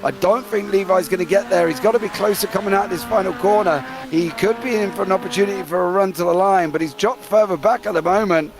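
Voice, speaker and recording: British male race host, raised gravelly voice, quick excitable play-by-play. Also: sits high, music in the background. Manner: excitable play-by-play, calling names fast. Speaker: race commentator. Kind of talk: live sim racing commentary.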